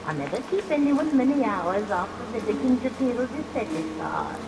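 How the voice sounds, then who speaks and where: A woman's voice: on the high side, moderate pace. A woman playing a haughty, sinister employer in a vintage radio melodrama, speaking with formal, imperious and ominously polite menace. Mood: coolly menacing. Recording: vintage radio recording, steady rain noise.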